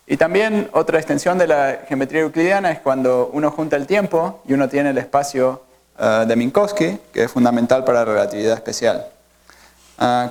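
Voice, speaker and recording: A man's voice: medium pitch, darkish tone. Argentine man giving a physics lecture. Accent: Argentine Spanish. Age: middle-aged. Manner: hesitant, many fillers and restarts. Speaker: guest lecturer. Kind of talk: academic colloquium talk. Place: university auditorium.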